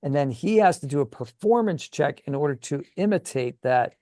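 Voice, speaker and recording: A man's voice: fairly high pitch, expressive, moderate pace, a little mellow, no room sound. A man co-hosting a gaming show, talking casually and enthusiastically as he thinks aloud. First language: English. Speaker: co-host of a tabletop gaming show. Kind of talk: casual conversational chat.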